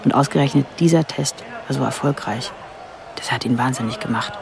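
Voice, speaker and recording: Young woman narrator, creaky voice, radio play. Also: very deep voice, fairly quick, a crowd in the background. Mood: observant, intense. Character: Rita, young German woman working on Egyptian rockets, observant narrator.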